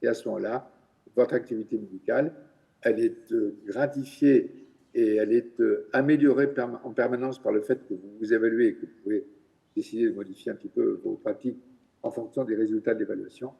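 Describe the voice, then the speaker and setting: A man's voice: lowish voice, steady tone, a little fast, vocal fry, warm tone, slightly rough, lo-fi sound. A middle-aged male doctor giving a conference presentation, hesitant, often repeating words as he searches for them. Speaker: physician giving a conference talk.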